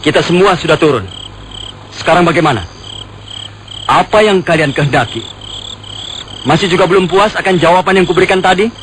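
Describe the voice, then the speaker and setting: Unruffled wandering fighter for radio drama: fairly high voice, measured defiance, faintly noisy recording. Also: male voice. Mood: calm, defiant. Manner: measured, unafraid, gently mocking. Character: calm, defiant male wandering swordsman on horseback. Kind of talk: Indonesian radio drama acting.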